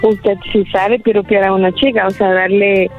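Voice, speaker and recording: A woman's voice: mid-range voice, level tone, normal speed, soft background music. A woman from El Salvador with a Salvadoran accent, speaking politely and giggling a bit on a phone call. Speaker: caller on the phone.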